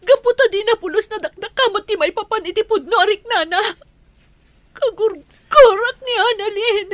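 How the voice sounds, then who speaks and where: Piercingly high, indignant young Filipina. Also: female voice, moderate pace, a bit bright, no room sound. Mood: hurt, indignant. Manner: accusing, emotional. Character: hurt, indignant young woman who feels betrayed. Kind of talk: Filipino radio drama acting in Ilocano.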